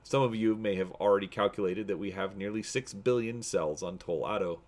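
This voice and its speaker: A man's voice: lowish voice, brisk, close to an everyday voice. A man playing an officious, droning imperial officer giving a formal guided tour in a science fiction story.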